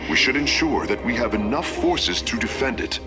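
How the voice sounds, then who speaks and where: A man's voice: medium pitch, big pitch swings, moderate pace, natural voice. A man playing a polite, studious alien engineer in a video game, giving precise, formal technical reports in a deferential, eager manner. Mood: businesslike reporting. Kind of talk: dramatic video game character acting. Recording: voice under loud game music.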